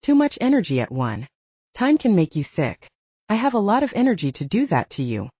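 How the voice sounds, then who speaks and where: A woman's voice: medium pitch, sing-song, a little fast, mellow, breathy edge, dry recording, dull audio. A woman voicing an easygoing, flirtatious male lover, teasing and unbothered, in an audiobook.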